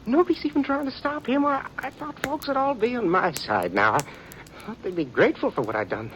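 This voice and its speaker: An American actor playing a nervous, defensive outlaw in an old radio western, stammering and wheedling in folksy rural speech. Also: male voice, very high voice, animated, fairly quick, fairly bright tone. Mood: anxious pleading. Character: nervous, defensive outlaw turned informer, pleading for protection. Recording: noisy vintage radio drama recording.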